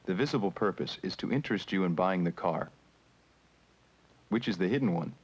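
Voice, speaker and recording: A man's voice: sits low, animated, fairly quick, breathy edge. Male instructional narrator, muffled old film sound. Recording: muffled 1970s educational film soundtrack with music.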